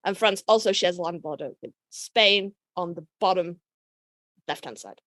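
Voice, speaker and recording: A woman hosting a podcast in casual, jokey chat, swearing freely and rambling excitably. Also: lowish voice, moderate pace, no echo. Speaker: podcast host.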